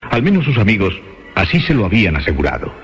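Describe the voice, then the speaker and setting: A very deep-voiced man narrates a suspense radio play. His literary delivery is measured and dramatic, moving widely in pitch. Music plays clearly behind him. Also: wildly swooping pitch. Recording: dull band-limited radio sound with music.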